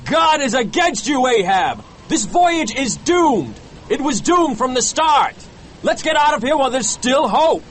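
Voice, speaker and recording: An anxious first mate in a storybook, voiced by a man, pleads desperately and urgently. The pitch is pushed very high and moves dramatically, with noticeable noise behind the voice. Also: very animated, a little slow, a bit bright, heavily acted. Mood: desperate, pleading. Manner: nervous, urgent, crying out.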